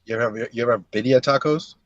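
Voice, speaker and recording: A man's voice: medium pitch, rapid-fire, no room sound. A male caller with a laid-back, slangy manner, heard over a dull, lo-fi call line. The sound is dry and close, with no room around it. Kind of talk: casual phone call-in.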